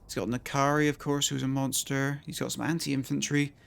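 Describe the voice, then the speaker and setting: A male streamer with a croaky voice, chatting casually to his viewers as he thinks aloud and plans his moves in a strategy game. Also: mid-range voice, level tone, a little fast, fairly bright tone. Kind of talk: casual gameplay commentary to chat.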